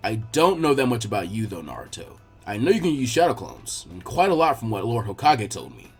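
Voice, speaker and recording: A man voicing a calm, encouraging adult ninja teacher in a story reading, measured and reassuring. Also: fairly low pitch, breathy edge, soft background music, pitched below the performer's own voice, heavily acted. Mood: calm, appraising. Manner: measured, reassuring, laid-back. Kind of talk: fan fiction character dialogue.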